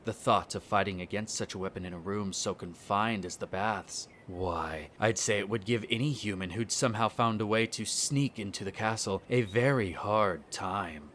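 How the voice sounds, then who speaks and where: A man's voice: lowish voice, normal speed, few pauses, fairly bright tone, slight background noise, natural voice. A man playing a smug, cruel vampire noble in an audio roleplay, speaking in a haughty, condescending, formal drawl. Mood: haughty, musing. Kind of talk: scripted audio roleplay acting.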